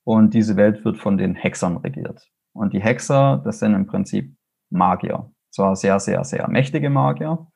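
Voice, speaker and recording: Gravelly low-voiced Swabian man. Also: measured pace, darkish tone. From Swabia, Germany. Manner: enthusiastic, rambling, many fillers and restarts. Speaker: fantasy author, guest. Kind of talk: casual interview answers.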